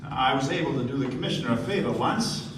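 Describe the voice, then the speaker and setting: A man's voice: mid-range voice, lively intonation, fast talker, lightly acted. A man playing a suave, courteous, wealthy American host with genteel phrasing in a stage radio play. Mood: earnest, confiding. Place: theater stage before an audience. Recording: echoey stage, distant mic, noisy room.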